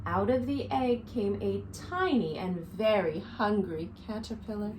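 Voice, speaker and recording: Lady teacher doing storytime for little kids, slow and super animated, explains stuff simply. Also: medium pitch, background noise. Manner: deliberate, instructive, addressing young children. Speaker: teacher reading to young children. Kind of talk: expressive picture-book read-aloud and simple lesson for children. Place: small living room, indoors.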